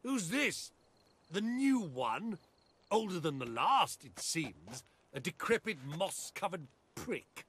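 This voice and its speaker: A man's voice: sits high, sing-song, takes it slowly, fairly bright tone, slightly rough, exaggerated voice. An actor playing a belligerent, sneering man in a video game, taunting and insulting as he talks. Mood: contemptuous. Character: belligerent, sneering male former acquaintance, mocking. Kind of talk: video game character acting.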